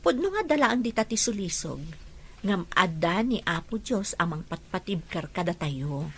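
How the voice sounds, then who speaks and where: A woman's voice: on the low side, lively intonation, normal speed, a little hiss or hum. A woman playing a principled, devout young woman in an audio drama, with a Filipino accent. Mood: earnest, pious.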